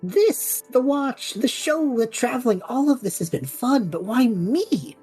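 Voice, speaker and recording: Actress as a restless young man in an audio drama, soft dark tone, rough-edged, earnest. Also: fairly high pitch, expressive, unhurried, soft background music. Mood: pleading, earnest. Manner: casual, hesitant, earnest. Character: restless, idealistic young adult male traveler between worlds. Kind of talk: scripted audio drama acting.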